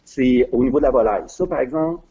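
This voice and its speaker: A man's voice: medium pitch, fast talker, dark tone, breathy edge, dull audio. A middle-aged man with a Quebec French accent, a guest in a casual interview, talking hesitantly with many fillers and restarts. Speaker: guest, regional business development adviser.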